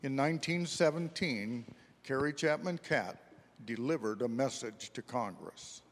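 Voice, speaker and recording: A man's voice: medium pitch, expressive, measured pace, a little hiss or hum. Male narrator in an echoey hall. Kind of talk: scripted historical narration, readers theater. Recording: echoey hall with long reverb.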